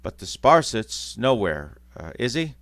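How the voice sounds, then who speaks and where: A man's voice: mid-range voice, very animated, a little slow, a bit bright, dry recording. A man voicing a coarse, greedy charwoman with slangy, scornful talk.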